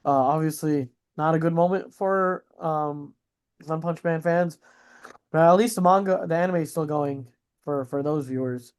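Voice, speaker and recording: A man's voice: fairly high pitch, normal speed, darkish tone, smooth. A man co-hosting a podcast, reacting to news in a casual, slangy way with mild swearing. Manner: slangy, informal, swears mildly. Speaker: podcast co-host.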